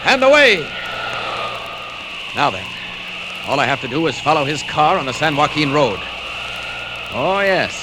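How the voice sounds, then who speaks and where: A man's voice: on the high side, big pitch swings, a little slow, long pauses. An actor in a vintage radio serial playing a confident, playful superhero who declaims his deeds heartily, on a very noisy old record. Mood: amused resolve. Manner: hearty, self-narrating, declamatory. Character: confident, playful superhero, strong grown man. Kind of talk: 1940s radio serial acting.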